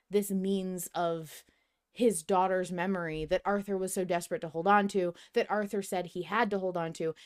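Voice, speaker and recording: A woman hosting an animated fan discussion, talking emphatically with plenty of swearing and rhetorical questions. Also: mid-range voice. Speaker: podcast host.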